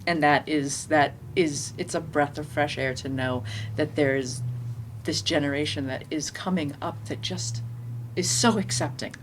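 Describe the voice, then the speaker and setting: A woman's voice: deep voice, unhurried. A woman on a panel talking conversationally, repeating phrases for emphasis. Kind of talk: conversational personal anecdote. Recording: clearly noisy room ambience.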